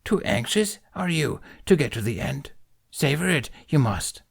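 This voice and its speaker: A man doing a high, ancient, teasing alien sage. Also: very animated, measured pace, creaky, husky, exaggerated voice. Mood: chiding. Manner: inverted word order, chuckling. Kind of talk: fan audiobook reading.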